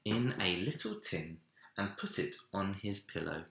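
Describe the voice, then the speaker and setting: A man reading a children's story aloud in an expressive narrating style, recorded in an echoey room. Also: low-pitched, unhurried, creaky. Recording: roomy, echoey indoor sound.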